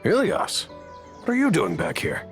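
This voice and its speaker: A man's voice: on the high side, wildly swooping pitch, a little slow, faint music behind. A man voicing a weary, disapproving middle-aged father in a video game, in a nagging tone. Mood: reproachful, weary.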